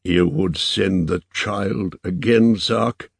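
A man voicing a sad, solemn old lord in a slow, grave, measured manner for a story. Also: deep voice, creaky, breathy edge, no echo, clean studio sound, full character voice.

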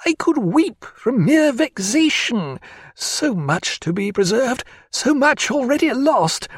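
A man reading as a fussy, excitable ship's surgeon, high-pitched and swooping, with a light lilt. His protests climb sharply, and the sound is close and dry. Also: takes it slowly, breathy edge, dry recording, heavily acted. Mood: vexed. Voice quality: high, exclaiming, agitated.

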